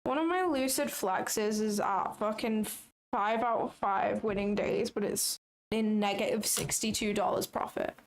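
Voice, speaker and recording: A woman's voice: mid-range voice, unhurried, slight background noise. A young adult woman chatting casually on a trading livestream, blunt and sarcastic, swearing freely.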